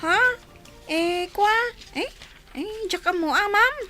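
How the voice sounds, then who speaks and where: A woman's voice: extremely high pitch, slow pace, over music. A woman with an Ilocano accent playing a nervous, evasive young housemaid who stammers in a radio drama. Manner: stammering, hesitant.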